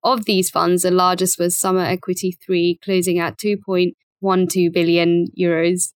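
A woman's voice: on the low side, level tone, moderate pace, no room sound. A woman speaking as a reporter and podcast guest, reading out prepared material.

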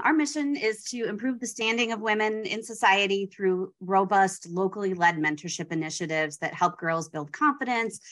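A woman's voice: medium pitch, a bit bright. A woman executive director speaking as a guest in a podcast interview, enthusiastic, with frequent filler sounds.

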